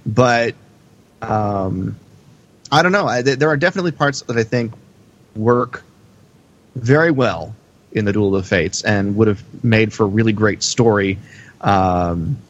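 A man in a casual critical discussion on a podcast, talking in a measured, thoughtful way with some hesitancy. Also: on the low side, lo-fi sound. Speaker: podcast panelist.

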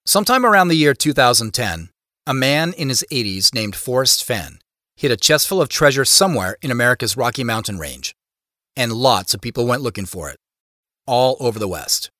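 A man acting as a reflective narrator telling his own mystery in an audio drama, in a measured, deliberate way. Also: medium pitch, very animated, bright, forceful tone, no echo, clean studio sound. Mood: measured, informative. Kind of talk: first-person scripted audio drama narration.

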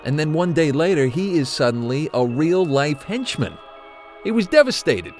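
An actor playing an older, guilt-ridden retired corporate executive who confides haltingly, as in an audio drama interview. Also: male voice, a little high, very animated, faint music behind. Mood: grim, reflective. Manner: hesitant, confessional, halting.

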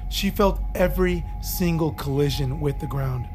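An actor playing a stern military psychiatrist who presses a patient insistently and accusingly in an audio drama. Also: male voice, on the high side, moderate pace, darkish tone, soft background music, lightly acted. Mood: grim, pressing. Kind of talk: audio fiction drama acting.

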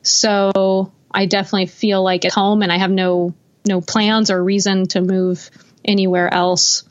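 A woman's voice: medium pitch, little pitch movement, measured pace, dull audio. An American woman, a guest musician, talking casually and easily, with some fillers and laughter. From United States. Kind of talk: casual interview chat.

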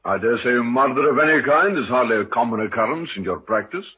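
An actor playing a measured, courteous, shrewd police inspector in an old radio drama, understated and authoritative. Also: male voice, medium pitch, animated, slightly rough. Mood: composed. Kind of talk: 1950s radio drama acting. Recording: tinny old radio, faint hiss.

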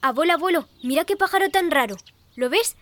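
A woman's voice: extremely high pitch, animated, a little fast, a bit bright. A woman playing a curious, admiring young schoolgirl in an audio drama, eager and inquisitive with her grandfather. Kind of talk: scripted audio fiction acting.

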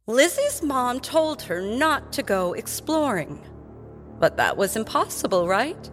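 A woman's voice: a little high, sing-song, slow, music in the background. A woman narrating a scripted fantasy tale for children in an audio drama. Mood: wry, knowing.

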